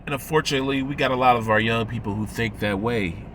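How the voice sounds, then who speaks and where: A man in his thirties with a cold, sounding nasal and congested, speaking in a measured, moralizing way as he comments on a video. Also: mid-range voice, a bit bright, a little hiss or hum. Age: 30s. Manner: measured, moralizing, pausing often. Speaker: religious commentator.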